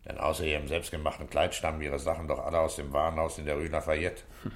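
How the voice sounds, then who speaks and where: An actor in a radio play playing a calm, gruff police commissioner who questions tersely and gives orders with authority. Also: male voice, very deep voice, quick pace. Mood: brisk, commanding.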